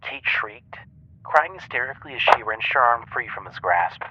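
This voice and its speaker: A man narrating a scripted dramatic story in a measured, solemn manner. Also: medium pitch, animated, muffled recording, heavy background noise.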